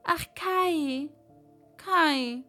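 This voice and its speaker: A woman's voice: high-pitched, expressive, moderate pace, soft background music, voice pushed up. A woman voicing Gerda, a timid, sad little girl in a read-aloud fairy tale. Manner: timid, sighing.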